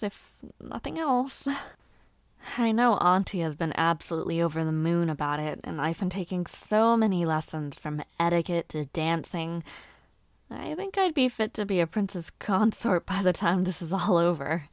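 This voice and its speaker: A woman playing a bashful, playful young noble lady who aspires to knighthood in an audio roleplay, with halting, giggly speech and formal wording. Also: on the low side, expressive, no room sound, clean studio sound. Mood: chatty, wry. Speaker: roleplay voice actor. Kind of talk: intimate scripted audio roleplay.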